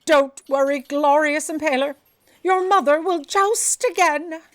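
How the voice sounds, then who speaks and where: A woman's voice: high voice, unhurried, noisy background. A woman playing an eccentric, impulsive and imperious editor in chief in a comic audio drama. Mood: grand reassurance. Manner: imperious, theatrical.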